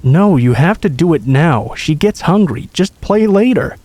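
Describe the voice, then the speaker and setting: A man voicing a frightened little girl who fakes calm on a phone call, urgent and insistent. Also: fairly high pitch, a little slow, voice pushed up. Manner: urgent, insistent, feigning cheerfulness.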